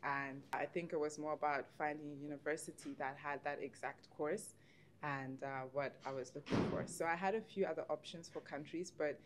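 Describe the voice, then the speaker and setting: Low-voiced young woman from Zimbabwe. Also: fairly even intonation, moderate pace, slight background noise. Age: young adult. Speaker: student and content creator. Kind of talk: documentary interview. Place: university lounge, indoors.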